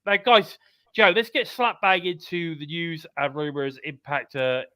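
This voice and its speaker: A man's voice: fairly high pitch, a little slow, bright, forceful tone, clear, resonant tone, no room sound, clean studio sound. A British man with a blocked-up, congested voice, hosting a live wrestling podcast in a chatty, upbeat way. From United Kingdom. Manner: chatty, upbeat, repeats calls to subscribe. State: congested, bunged up. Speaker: podcast host.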